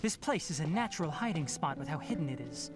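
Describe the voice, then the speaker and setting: Calm, lively-toned young scholar. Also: male voice, a little high, over music. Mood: explanatory. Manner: measured, explanatory, precise. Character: youthful male scholar, calm, analytical, slightly dry. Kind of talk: video game voice acting.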